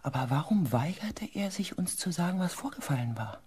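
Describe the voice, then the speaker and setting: An actor playing an anxious, reflective researcher who narrates a sci-fi radio drama in a tense, dramatic manner. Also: male voice, fairly high pitch, sing-song, fairly quick, a little mellow, breathy edge, full character voice. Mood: grave. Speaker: narrator and character. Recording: faint background ambience.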